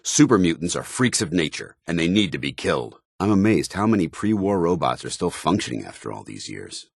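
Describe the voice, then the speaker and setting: An actor playing a stern, zealous soldier companion in a video game, with an American accent and a formal, earnest military delivery. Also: male voice, low voice, no echo, clean studio sound, full character voice. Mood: disgusted.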